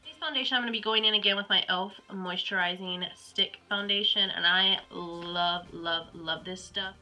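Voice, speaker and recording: A woman's voice: mid-range voice, steady tone, normal speed, pushed and bright, soft background music. A young woman with a Southern US accent and a stuffy, congested voice from a cold, chatting casually through a makeup tutorial at home with lots of ums and likes. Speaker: beauty vlogger.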